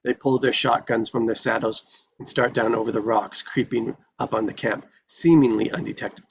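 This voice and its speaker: A man's voice: mid-range voice, fairly even intonation, normal speed, gravelly, slight background noise. A man reading screenplay stage directions in a dramatic narrating style at a table read.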